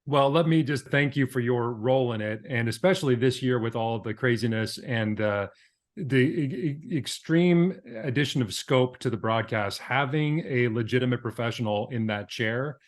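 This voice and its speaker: A man's voice: medium pitch, moderate pace. Male podcast host with wordy lead-ins. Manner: long-winded lead-ins to questions. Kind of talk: conversational interviewing.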